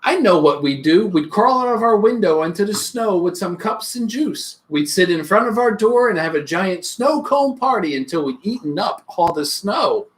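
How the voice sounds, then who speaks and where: Reader as a reassuring, animated storybook mother. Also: medium pitch, normal speed, hardly any pauses, slight background noise, lightly acted. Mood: reassuring, playful. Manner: reassuring, playful.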